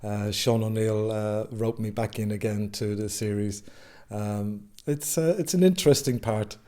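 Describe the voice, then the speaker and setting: Halting actor, lowish voice. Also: male voice, warm tone. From Dublin, Ireland. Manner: halting, many filler sounds. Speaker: actor being interviewed. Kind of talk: casual interview.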